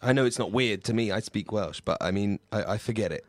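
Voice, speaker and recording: A man's voice: lowish voice, a little fast, dry recording, natural voice. A man playing an earnest, sheltered nine-year-old son in improvised comedy, reasoning hesitantly and stumbling over his words. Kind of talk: improvised comedy role-play.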